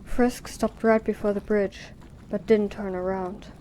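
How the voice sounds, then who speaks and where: A woman narrates a scripted drama in a warm, dark-toned voice of average pitch. A faint ambient noise sits behind her reading. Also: little pitch movement, a little slow, low-quality recording.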